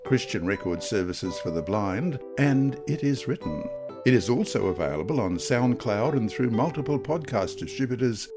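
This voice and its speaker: Male, lower-pitched middle register with lively intonation, reading religious lesson material deliberately. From Queensland, Australia. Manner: measured, deliberate read-aloud delivery. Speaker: lesson reader. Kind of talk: scripted religious lesson narration with scripture readings.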